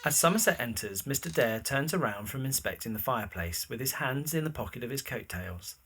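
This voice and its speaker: A man's voice: medium pitch, expressive, fairly quick, fairly bright tone, slight background noise. A man narrating a scripted audio drama in the present tense, describing scenes and actions.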